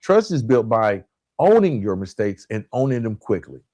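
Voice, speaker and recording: A man hosting a podcast in a motivational coaching style, emphatic and deliberate, repeating key points and dropping in colloquial asides. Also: on the low side, very animated, warm tone, dry recording, highs cut off. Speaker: podcast host. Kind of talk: motivational relationship coaching talk.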